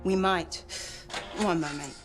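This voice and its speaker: A woman acting a composed, dry-witted scholar and tactical operator in a video game, measured and slightly pointed. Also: on the low side, fairly even intonation, music in the background. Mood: knowing.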